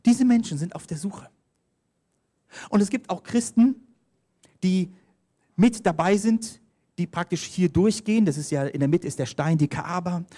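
A man's voice: high-pitched, moderate pace, long pauses, mellow. A man preaching a sermon, telling mission stories, reading scripture aloud and calling for the next slide. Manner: storytelling, reads scripture aloud, directs slides.

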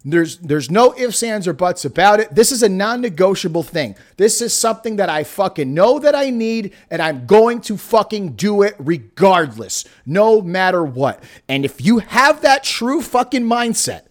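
A man's voice: sits high, sing-song, moderate pace, few pauses, no echo, clean studio sound. A man in his late thirties hosting a podcast, talking intensely and swearing for emphasis. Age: 30s. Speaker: podcast host. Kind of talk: motivational podcast talk.

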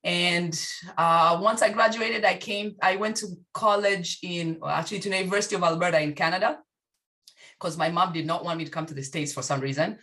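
A woman from Tanzania, a guest on a video call, telling her life story in an animated way and laughing often. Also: fairly low pitch, a little fast. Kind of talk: casual conversation.

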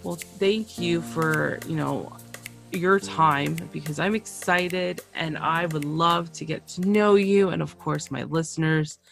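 A woman from Canada hosting a casual interview podcast, chatty and quick to exclaim. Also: deep voice, gravelly, soft background music. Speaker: podcast host.

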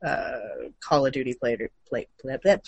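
A man's voice: mid-range voice, moderate pace, a little mellow, dry recording. A man co-hosting a casual gaming and comics news chat, informal and a little sweary in how he talks. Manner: informal, mildly profane. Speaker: show host.

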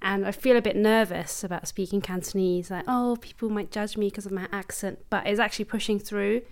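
A young woman hosting a podcast, asking questions and chatting in a relaxed way with fillers and soft laughs. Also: mid-range voice, brisk. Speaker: podcast host. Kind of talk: casual interview chat.

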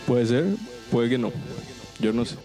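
A man's voice: mid-range voice, little pitch movement, measured pace, warm tone, soft background music. A man hosting a casual podcast chat with friends, telling a long story, repeating himself and using slang. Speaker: podcast host.

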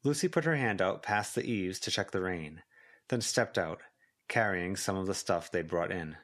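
A man's voice: sits low, expressive, normal speed, fairly bright tone. A man reading scripted fiction as a volunteer audiobook narrator.

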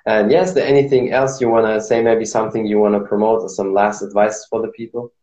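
A man's voice: on the low side, little pitch movement, brisk, mellow, highs cut off. A man hosting an interview podcast, encouraging his guest with short agreeing remarks. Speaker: podcast host. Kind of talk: casual interview chat. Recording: roomy echo.

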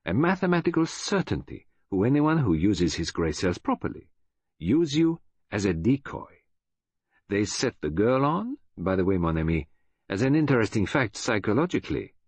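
A man voicing a courteous, shrewd detective in an audiobook, with a gentle, precise, self-assured manner. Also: on the low side, sing-song, normal speed, husky, no room sound. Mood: pleased, explaining. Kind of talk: audiobook character voice.

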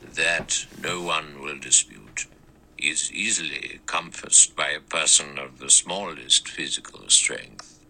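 A man voicing an elderly male judge with a small, clear, thin and sour voice, speaking slowly and measured. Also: very deep voice, pushed and bright, a little hiss or hum, pitched below the performer's own voice, heavily acted, rough recording. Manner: slow, measured, dry.

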